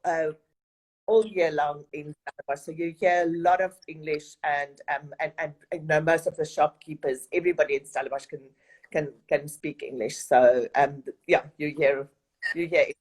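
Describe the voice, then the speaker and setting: A middle-aged woman with a South African accent and a deep, low-set voice. Afrikaans is her mother tongue, and she answers questions haltingly, full of fillers and false starts. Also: steady tone, darkish tone, breathy edge, poor audio. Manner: hesitant, many fillers and restarts. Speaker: resident director of study abroad program. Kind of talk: interview answers.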